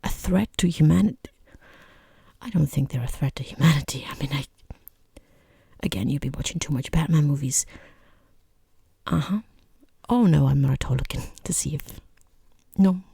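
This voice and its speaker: A person voicing a rude, condescending boss in a soft-spoken ASMR roleplay, with a breathy half-whisper, hesitant pauses and dryly mocking, falsely polite understatement. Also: mid-range voice, no room sound, clean studio sound. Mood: wary, evasive. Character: rude, condescending boss conducting a job interview.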